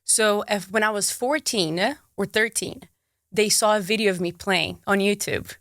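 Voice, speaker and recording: A young woman from Brazil with a Brazilian Portuguese accent, a guest chatting in an interview, bubbly and quick to laugh, with lots of "like.". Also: mid-range voice, fairly bright tone, dry recording, studio quality. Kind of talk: casual interview chat.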